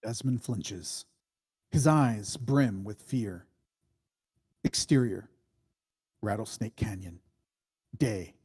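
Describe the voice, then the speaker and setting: A man reading screenplay stage directions as dramatic narration, in a deliberate, suspenseful way. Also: fairly low pitch, mellow, breathy edge.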